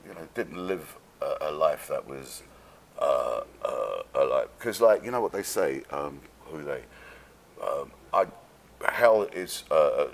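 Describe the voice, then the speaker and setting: Male interview guest, London accent, rock-bottom gravelly register, rambling streetwise storyteller. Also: a bass voice, slight background noise. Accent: London English. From London, England. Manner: rambling, halting, sweary, streetwise.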